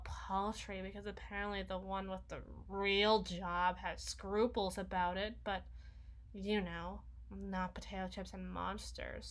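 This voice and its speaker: A woman's voice: mid-range voice, a bit bright. A woman playing a sulky, sarcastic young vampire shut-in in an ASMR roleplay, whining and mumbling through a one-sided conversation. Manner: whiny, sarcastic, halting, dropping into mumbles and sighs. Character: sulky, sarcastic young female vampire, reclusive lazy gamer.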